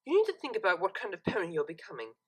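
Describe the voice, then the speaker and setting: A woman's voice: mid-range voice, wildly swooping pitch, racing, breathy edge. A woman voicing a refined young cartoon mare who speaks formally and with cool disapproval. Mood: stern, lecturing. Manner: formal, articulate, lecturing.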